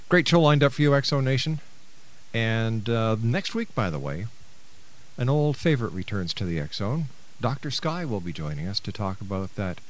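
A man's voice: fairly low pitch, rough recording. Older man hosting talk radio. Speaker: radio show host.

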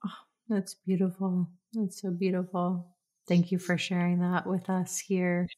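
A woman with a notably low voice hosts an interview podcast. She answers her guest with short affirmations and talks openly and easily about her own life. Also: monotone, unhurried, mellow. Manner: affirming, breathy exclamations, laughs easily. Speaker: podcast host. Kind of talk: warm conversational interview.

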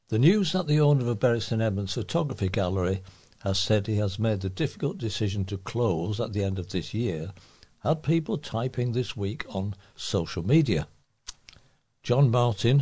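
Man, slightly low pitch, husky tone, reading local news articles aloud, volunteer reader. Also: a little mellow.

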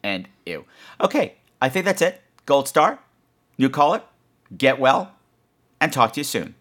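A man's voice: medium pitch, sing-song, a little slow, fairly bright tone. A man hosting a horror podcast in a casual, campy, exclamatory way, peppering his chat with profanity. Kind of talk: casual chat responding to listener voicemails.

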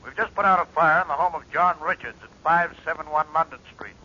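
An actor playing a businesslike fire chief over a telephone, in 1940s radio drama style. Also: male voice, a little high, fast talker, pushed and bright, breathy edge, muffled recording.

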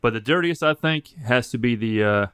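A man's voice: medium pitch, expressive, moderate pace, fairly bright tone, clear, resonant tone, no echo, studio quality. A man co-hosting a podcast, chatting casually and crudely, telling blunt, profanity-laced stories. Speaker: podcast co-host.

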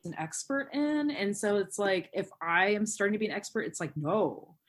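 Chatty woman telling animated stories. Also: medium pitch, moderate pace. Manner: chatty, laughs often, acts out quotes. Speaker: podcast guest, teacher. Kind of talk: casual podcast chat.

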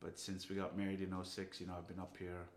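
A singer-songwriter from Fiji, a man, talking softly and casually between songs in a brewery barrel house. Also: completely flat delivery, fast. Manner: soft-spoken, low-key. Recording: roomy brewery hall echo.

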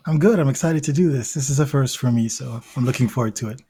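A man's voice: mid-range voice, quick pace, warm tone, breathy edge. A young man from Belgium, a podcast guest telling his life story in a thoughtful way, often restarting phrases as he searches for words. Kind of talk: conversational interview storytelling.

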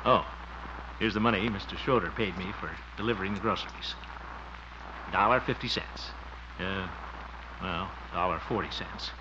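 An actor playing a blustering, soft-hearted middle-aged man in a 1950s radio comedy, with muttered asides and nervous giggles. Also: deep voice, normal speed, long pauses, lightly acted. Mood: tired, softened. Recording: muffled, very noisy old radio recording.

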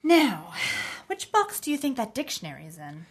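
An actress playing a young mother in her thirties who speaks firmly to her son and gently to her little daughter. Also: on the high side, huge pitch swings, normal speed, breathy edge, heavily acted. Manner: firm, gentle maternal. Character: young mother, firm yet tender, steady in crisis.

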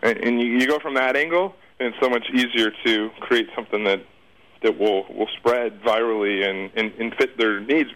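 A man's voice: fairly low pitch, bright, forceful tone, low-quality recording. A man giving casual interview answers as a guest, informal and rambling in the way he talks.

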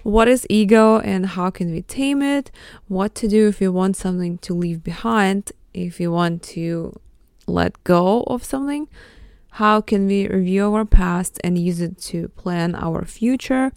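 A woman's voice: medium pitch, a little slow, a little mellow, clear, resonant tone, dry recording, clean studio sound. A woman from Ukraine whose first language is Russian, hosting her podcast in an earnest, heartfelt and thankful way. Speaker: podcast host. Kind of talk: solo podcast intro.

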